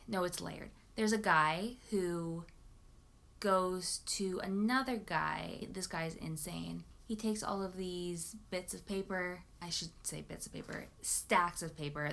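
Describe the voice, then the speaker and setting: Expressive young woman chatting casually. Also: medium pitch. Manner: expressive, informal, self-correcting. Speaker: book vlogger. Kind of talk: casual chat to camera about books.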